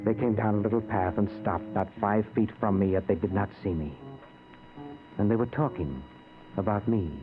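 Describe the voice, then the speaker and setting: Music plays clearly behind a narrow vintage radio recording. A man acts as an anguished ghost recounting his death with desperate, dramatic intensity, in the style of 1940s radio. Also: lowish voice, moderate pace, close to an everyday voice. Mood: haunted, despairing. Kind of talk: 1940s radio acting, first-person narration.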